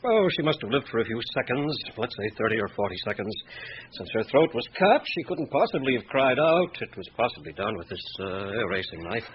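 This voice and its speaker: A man's voice: mid-range voice, very animated, fairly quick, natural voice. A man playing a fussy, hesitant doctor in a radio drama, apologetic and inclined to ramble. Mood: matter-of-fact. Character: fussy, hesitant male police-case doctor. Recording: muffled, noisy radio recording.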